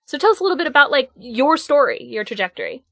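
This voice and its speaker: A woman's voice: high voice, very animated, fast, fairly bright tone, dry recording, clean studio sound. A woman hosting an interview, asking her guest conversational questions. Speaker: podcast host.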